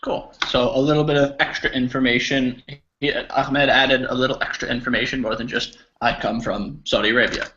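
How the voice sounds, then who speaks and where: A man's voice: medium pitch, level tone, brisk, pushed and bright, slight background noise. A man from New Jersey teaching an online English class, giving friendly explanations to students. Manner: encouraging, explaining. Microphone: video call. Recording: slightly dull video call audio.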